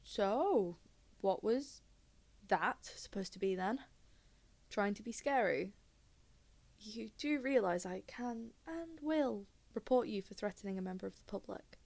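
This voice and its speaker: A woman's voice: medium pitch, lively intonation, a little slow, vocal fry, darkish tone. A woman playing an indignant, sarcastic young witness in an audio drama, speaking in a colloquial, exasperated way. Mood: defiant. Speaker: voice actor in a fan audio drama. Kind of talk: audio drama acting, conversational monologue.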